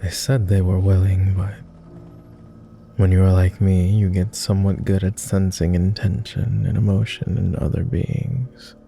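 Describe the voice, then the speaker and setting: A man playing a weary, courteous immortal vampire in intimate ASMR roleplay, speaking softly in formal, hesitant phrases. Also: sits low, level tone, vocal fry, a little hiss or hum. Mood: resigned, reserved. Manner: formal, measured, hesitant with pauses. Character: weary, reserved, self-loathing male vampire, immortal, courteous.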